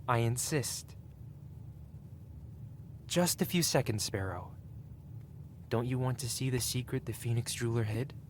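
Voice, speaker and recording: A man acts out a wealthy, possessive male dragon in an intimate ASMR roleplay. The voice sits at a medium pitch, with elegant, unhurried phrasing and a teasing purr. Faint noise sits behind the recording. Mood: teasing, coaxing.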